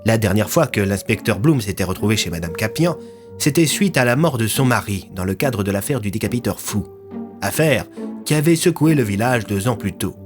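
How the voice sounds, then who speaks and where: A man's voice: fairly low pitch, animated, fairly quick, over music. A man narrating a scripted audio fiction as its storyteller.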